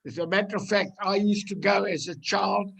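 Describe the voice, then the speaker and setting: A man in his eighties with a South African accent, reminiscing casually in a halting way and repeating himself. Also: sits high, fairly even intonation, gravelly, fairly bright tone. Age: 80s. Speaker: audience member on a virtual tour.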